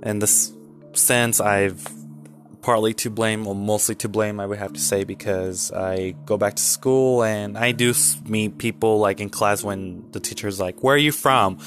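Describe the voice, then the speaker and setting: A man's voice: lowish voice, steady tone, unhurried, a bit bright, faint music behind. A man in his twenties talking candidly in a casual vlog, rambling with many fillers and restarts. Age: 20s. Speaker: vlogger.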